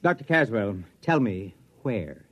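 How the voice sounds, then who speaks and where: An actor playing an anxious, excitable sociology professor in a 1950s radio drama. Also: male voice, medium pitch, measured pace. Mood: alarmed, incredulous. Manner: excitable, incredulous questioning. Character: anxious male sociology professor, exasperated and increasingly alarmed narrator. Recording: dull vintage radio recording, music behind.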